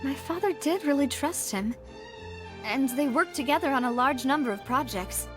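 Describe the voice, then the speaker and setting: A poised young lady investigator from a video game, speaking in a high voice with music underneath. She is thoughtful and deliberate, weighing each idea in measured musings. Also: expressive. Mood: doubtful, musing. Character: poised, thoughtful young woman investigating her father's case.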